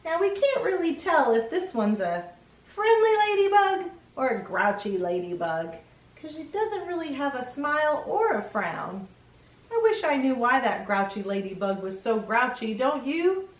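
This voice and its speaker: Animated woman hosting a children's show. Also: high voice, unhurried. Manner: chatty, expressive, addressing young viewers directly. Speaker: host of a children's story show. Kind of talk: child-directed presenting. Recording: roomy, distant mic, slightly dull.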